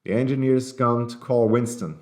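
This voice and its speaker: A man's voice: mid-range voice, normal speed, reverberant, lightly acted. A man voicing an impassive, accusing train conductor in an audiobook, terse and pointed. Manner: flat, terse, pointedly emphatic.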